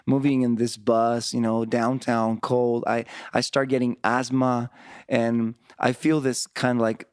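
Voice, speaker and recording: A middle-aged Chilean man, Spanish his first language, telling his story in a casual interview, halting with fillers and restarts. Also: medium pitch, little pitch movement, a little mellow, smooth, no echo, studio quality. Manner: halting, many fillers and restarts, frequent laughs. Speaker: worship pastor, interview guest.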